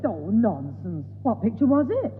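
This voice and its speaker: A woman's voice: medium pitch, very animated, moderate pace, faint music behind, natural voice. A woman playing a stern, exasperated mother in a 1960s radio sitcom, scolding and emphatic. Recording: tinny, echoey, noisy old radio broadcast.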